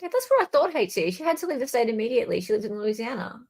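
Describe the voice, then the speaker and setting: A woman's voice: on the high side, sing-song, racing, darkish tone. Chatty woman hosting a livestream. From Australia. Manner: informal, chatty, addresses viewers directly. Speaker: livestream host. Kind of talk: casual live legal commentary chat.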